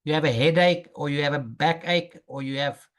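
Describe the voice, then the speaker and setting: A man teaching an English lesson, speaking slowly and patiently and repeating phrases for a student to copy. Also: fairly high pitch. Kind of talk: language lesson drills.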